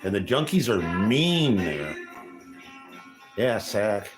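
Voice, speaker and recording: Rambling man, lively pitch. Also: slow, over music. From Los Angeles area, California. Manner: rambling, self-deprecating, meandering asides. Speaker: channel host. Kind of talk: rambling casual livestream chat.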